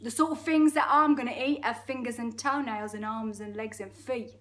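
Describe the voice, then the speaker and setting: A woman's voice: a little high, steady tone, moderate pace, a bit bright, natural voice. A woman reader acts out a huge, boastful crocodile from a children's story. The creature is greedy and sly, lingering over words with gloating menace. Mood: greedy, menacing.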